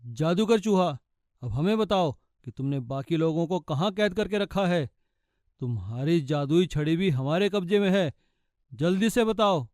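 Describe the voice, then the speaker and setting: A man's voice: a little high, animated, unhurried, a little mellow, no room sound, clean studio sound. A man voicing an authoritative, kindly fairy king and father in a children's cartoon. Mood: stern. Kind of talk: children's cartoon story acting.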